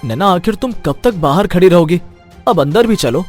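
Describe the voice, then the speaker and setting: A man playing a selfish, smooth-talking young village husband in a cartoon moral story. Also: high voice, animated, normal speed. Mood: defensive. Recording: faint music behind the voice.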